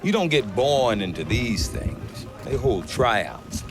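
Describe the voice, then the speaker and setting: An actor playing a gruff middle-aged trader who talks with dry humor. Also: male voice, medium pitch, slow pace. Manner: wry, dry humor, lively delivery. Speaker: merchant trader. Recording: game cutscene with music behind.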